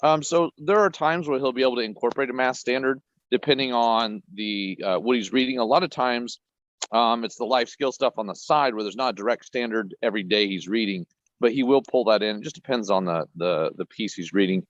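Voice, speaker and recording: A man, an educator, giving a casual, halting off-the-cuff answer in an online meeting with dull lo-fi audio. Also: medium pitch, no room sound.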